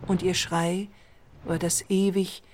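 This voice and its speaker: A woman's voice: lowish voice, flat delivery, slow pace, a little mellow, slight background noise. A woman narrating a literary text in a scripted radio play. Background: music.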